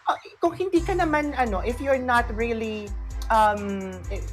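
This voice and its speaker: A woman's voice: fairly high pitch, animated, normal speed, faint music behind. A woman with a Filipino accent co-hosting a chatty radio talk show, repeating phrases and slipping Tagalog into her English. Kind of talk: chatty talk show discussion with some read-out tips.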